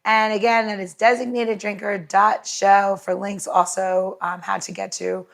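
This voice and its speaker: A woman's voice: mid-range voice, little pitch movement, unhurried, creaky. A woman from New York chatting casually and jokingly as a podcast co-host, explaining recipes in an informal way.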